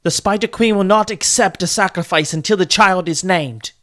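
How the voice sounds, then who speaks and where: A man voicing a zealous, cruel dark-elf priestess in a fantasy audiobook, urgent and commanding. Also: high voice, moderate pace, pitched above the performer's own voice.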